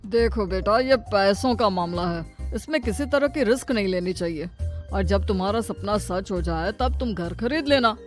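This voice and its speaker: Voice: medium pitch, animated, moderate pace, close to an everyday voice. An actor voicing a kindly, cautious older father-in-law in a cartoon story, in an advising, indulgent manner. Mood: cautioning. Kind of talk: scripted cartoon story dialogue. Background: background music.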